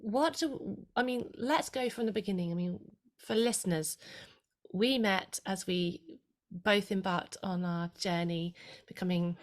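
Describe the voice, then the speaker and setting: Lady podcast host, eager and chatty, mid-pitch voice, asks long questions. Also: a little slow. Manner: warm, enthusiastic interviewer asking rambling questions. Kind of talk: podcast interview.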